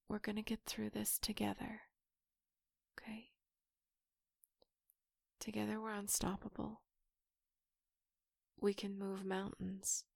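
A woman performing an intimate audio roleplay in a soft, breathy whisper, close to the microphone. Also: medium pitch, no room sound.

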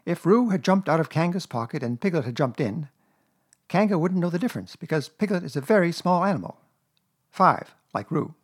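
Man playing a loud, bossy, high-voiced storybook rabbit. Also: breathy edge. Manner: brisk, emphatic, pompous, lecturing. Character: bossy, self-important male rabbit who makes plans. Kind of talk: children's storybook character reading.

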